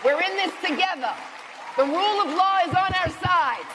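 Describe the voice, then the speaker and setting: A man delivering a loud, declamatory, defiant political speech to rally people. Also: very high voice, lively intonation, normal speed. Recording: noisy live crowd recording.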